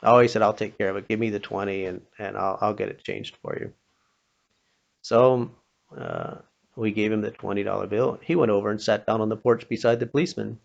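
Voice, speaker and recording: Guy with a gravelly creaky voice telling a story, kinda halting, does the dialogue, muffled lo-fi audio. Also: lowish voice, steady tone. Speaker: storyteller, pastor. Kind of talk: informal spoken storytelling with acted-out dialogue. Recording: dull, muffled lo-fi recording.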